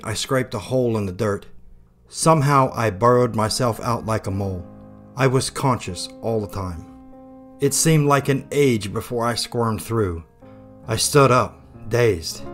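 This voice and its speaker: A male actor plays a survivor remembering a dazed escape. The account is a scripted first-person reenactment in a medium-pitched voice. Faint music plays underneath. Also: fairly bright tone, lightly acted.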